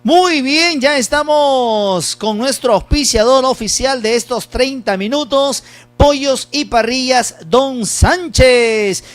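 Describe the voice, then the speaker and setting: A man hosting a live stream, reading a sponsor ad and shout-outs in a rapid, upbeat, salesy way with many fillers. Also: extremely high pitch, slight background noise. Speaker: livestream host.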